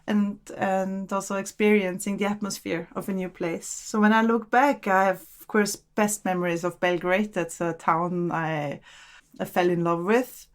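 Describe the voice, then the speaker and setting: Austrian woman, 40s, interview guest, relaxed and reflective, mid pitch. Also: moderate pace. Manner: relaxed, thoughtful, repeats words while searching. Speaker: podcast guest. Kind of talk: casual interview conversation.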